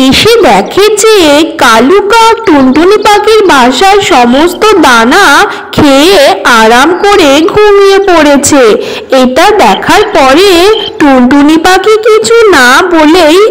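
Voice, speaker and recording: A woman's voice: high-pitched, poor audio. A woman storyteller reading a scripted children's tale as narrator.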